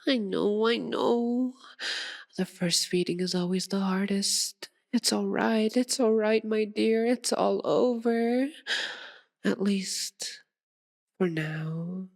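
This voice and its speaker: A seductive, sadistic vampire mistress, played by a woman in an intimate ASMR roleplay. She speaks very slowly in a slightly low voice. Her delivery is possessive and commanding, a purr that often slips toward a whisper. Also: fairly even intonation. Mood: mock tender, soothing. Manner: slow, purring, domineering, often dropping into whispers.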